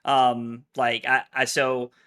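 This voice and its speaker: A man's voice: mid-range voice, monotone, takes it slowly, sharp tone. A man co-hosting a casual podcast chat, rambling and filling his talk with "like". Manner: rambling, heavy use of "like". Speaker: podcast co-host.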